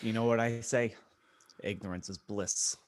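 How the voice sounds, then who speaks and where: A man's voice: on the low side, steady tone, normal speed. A man co-hosting a casual panel podcast in an informal, joking manner. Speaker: podcast co-host.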